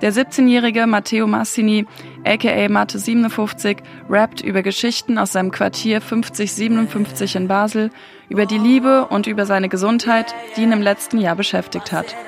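A woman radio reporter, reading a scripted news feature in a clear, steady way. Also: medium pitch, a little fast, soft background music.

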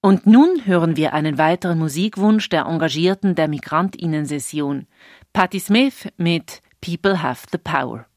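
A woman radio presenter making a crisp announcement. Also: deep voice, animated, normal speed, a little mellow.

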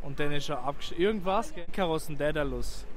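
A man's voice: a little high, lively intonation, fast. A man with a Swiss accent answering street interview questions casually and hesitantly, thinking aloud. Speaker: passer-by being interviewed. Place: outdoors on a city square. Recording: faint urban street noise.

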